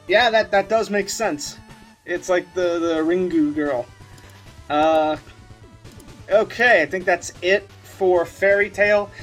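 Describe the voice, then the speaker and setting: High-voiced man, casual reaction commentary. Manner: giggly, often laughing as he talks. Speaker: host of a meme review channel.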